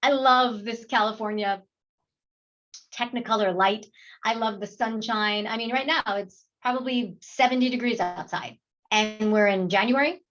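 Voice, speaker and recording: An actress from New York, chatting in an interview in an animated, talkative way, with many fillers and frequent laughter. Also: female voice, a little high, normal speed, highs cut off. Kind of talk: casual interview chat.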